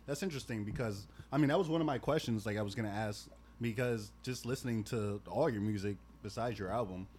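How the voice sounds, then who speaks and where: A man in his twenties hosting a podcast, asking long rambling questions and getting animated when reminiscing. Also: on the low side, fast talker, a little hiss or hum. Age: 20s. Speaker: podcast host. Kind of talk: casual podcast chat.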